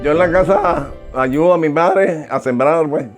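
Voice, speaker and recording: Eager man, high voice. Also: unhurried, over music. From Venezuela.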